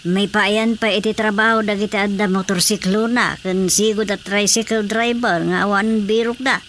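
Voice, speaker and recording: A woman's voice: mid-range voice, normal speed, close to an everyday voice. A woman acting a helpful, sensible villager in an Ilocano radio drama, with an Ilocano accent. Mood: helpful, explaining. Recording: steady background noise.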